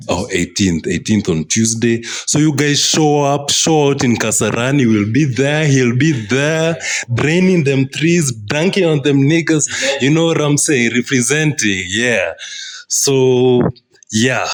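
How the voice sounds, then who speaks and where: A young man hosting a casual podcast chat, excitable and repeating phrases for emphasis. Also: medium pitch, measured pace. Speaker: podcast host.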